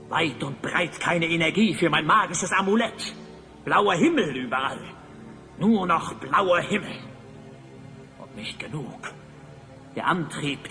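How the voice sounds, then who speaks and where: An actor playing a pompous, brooding evil sorcerer in a German audio drama, speaking in a theatrical, grandiose way. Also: male voice, fairly high pitch, bright, forceful tone, full character voice. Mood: aggrieved, grandiose. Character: pompous evil sorcerer, self-styled Master of Time. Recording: long reverb, music underneath.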